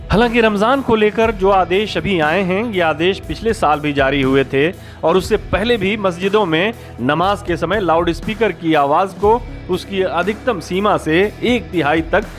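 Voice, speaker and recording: Higher-pitched man reading scripted news. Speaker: news explainer channel presenter. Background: background music.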